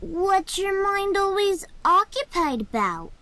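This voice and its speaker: A young storybook boy acted by a woman with a very high, lively voice. He talks slowly and frankly, polite but a little weary, in a plain country-lad way. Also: no room sound, exaggerated voice. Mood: politely curious. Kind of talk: dramatized storybook character acting.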